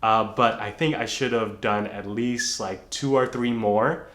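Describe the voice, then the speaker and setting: Guy from indonesia chatting on his vlog, lowish voice, kinda echoey, laid back and self-deprecating. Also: unhurried. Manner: relaxed, self-deprecating storytelling. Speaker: vlogger. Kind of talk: casual vlog talk while out on a run. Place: outdoors on a nice day.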